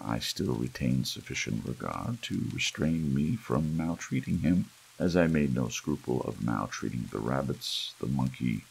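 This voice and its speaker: A man narrating a gothic horror audiobook in an ASMR style, measured and deliberate, with dramatic pauses. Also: very deep voice, vocal fry, a little mellow, slight background noise, poor audio.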